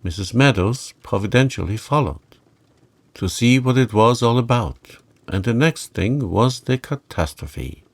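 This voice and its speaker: A man's voice: medium pitch, lively intonation, unhurried. A man voicing a genteel, dramatic middle-aged aunt in a storybook, recounting things with emphasis. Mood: earnest recounting. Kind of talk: storybook character reading.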